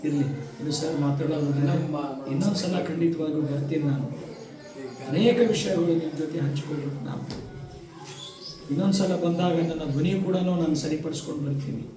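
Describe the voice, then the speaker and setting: A man with a North Karnataka Kannada accent gives an emphatic motivational speech to students in short, deliberate phrases. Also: fairly high pitch, crowd noise. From Karnataka, India. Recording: echoey hall, distant microphone, audience noise.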